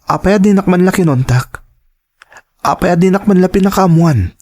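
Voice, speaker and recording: A hurt young boyfriend acted by a man with an Ilocano accent and a high-pitched voice. He questions and pleads in an emotional melodrama style, sounding bewildered. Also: normal speed, a little mellow. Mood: concerned. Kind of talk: melodramatic audio drama acting.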